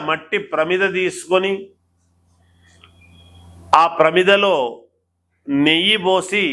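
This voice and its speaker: A man speaking as an astrologer, explaining remedies to viewers in a deliberate, instructional way and repeating phrases. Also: fairly high pitch, pushed and bright. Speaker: astrologer presenter.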